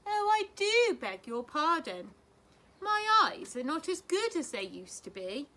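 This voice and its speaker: A woman's voice: high voice, big pitch swings, unhurried, pushed and bright, pitched above the performer's own voice, full character voice. A woman reading a storybook, voicing a polite, fussy elderly lady in a prim, fluttery way.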